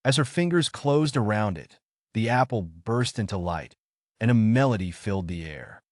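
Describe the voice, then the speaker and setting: A man narrating a scripted bedtime story as a storyteller, in an expressive, theatrical manner. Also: fairly low pitch, normal speed, dry recording, studio quality.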